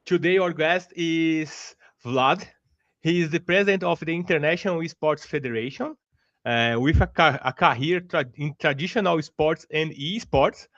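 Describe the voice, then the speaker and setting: A man with a Brazilian Portuguese accent hosts an interview, stumbling and restarting often in friendly speech. His voice is a bit high for a man. The audio is dry and dull, as from a video call. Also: fairly bright tone, no room sound. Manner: halting, many restarts, friendly. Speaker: podcast host. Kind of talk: casual interview hosting.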